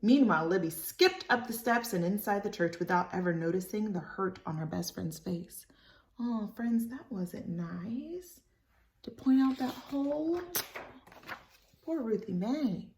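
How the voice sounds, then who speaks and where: Expressive woman reading storytime in echoey room. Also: mid-range voice, a little slow, slight background noise. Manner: animated, addresses listeners as friends. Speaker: teacher reading a storybook aloud to children. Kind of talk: expressive children's storytime narration with asides.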